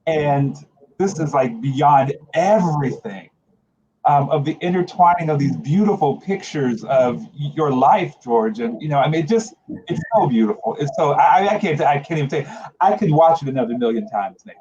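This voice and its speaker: A man's voice: on the high side, lively intonation, moderate pace. A man chatting casually as a panel guest, effusive and gushing, on muffled audio. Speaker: creative team member, panel guest. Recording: muffled lo-fi audio, clear background noise.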